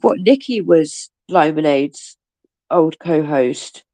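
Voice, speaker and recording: A woman chats and gossips on her livestream, swearing freely and laughing often. She sounds bunged up with a cold, and her gravelly voice swoops up and down. The sound is dry and close. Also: low-pitched, animated, slow, mellow, no echo. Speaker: livestream host. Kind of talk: casual chat with viewers.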